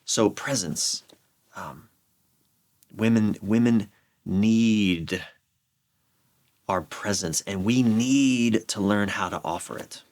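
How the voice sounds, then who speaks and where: A middle-aged American man explaining his views in a candid interview, halting and repeating phrases for emphasis. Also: lowish voice, a little mellow. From United States. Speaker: relationship coach, podcast guest. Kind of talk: reflective conversational interview explanation.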